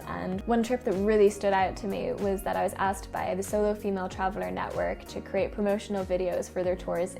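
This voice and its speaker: Irish woman around 30 doing vlog narration, bit of vocal fry, music under it. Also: medium pitch, mellow. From Ireland. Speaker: freelance photographer presenting a vlog.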